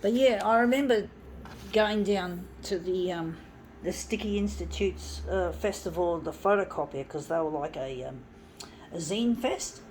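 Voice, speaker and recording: A middle-aged woman talks in a casual, meandering way, full of ums and restarts. Her voice sits on the low side. A faint hiss of noise runs under the recording. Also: a little slow, darkish tone, rough recording. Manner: rambling, many fillers and restarts. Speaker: zine and mixtape maker. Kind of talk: casual reminiscing while working.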